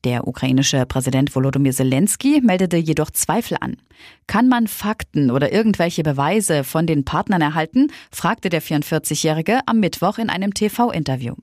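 A woman reading a news bulletin in an even, matter-of-fact way. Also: low-pitched, normal speed, no room sound, studio quality. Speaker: news reader.

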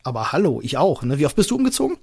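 A man with a somewhat high-pitched voice who co-hosts a casual podcast. He talks in an upbeat, chatty way and laughs often. He is from Berlin. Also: sing-song, racing, vocal fry, crowd noise. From Berlin, Germany. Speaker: podcast co-host. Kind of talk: casual chat.

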